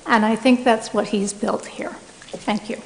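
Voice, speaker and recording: A woman closing a speech with a slow, reflective list. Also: a little high, steady tone, creaky, very noisy. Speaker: presenter. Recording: reverberant hall from a distance.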